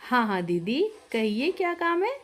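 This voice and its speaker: A woman's voice: a little high, big pitch swings, moderate pace. A woman voicing a polite young newlywed daughter-in-law who is eager to help, in a family story. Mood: obliging. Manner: polite, obliging.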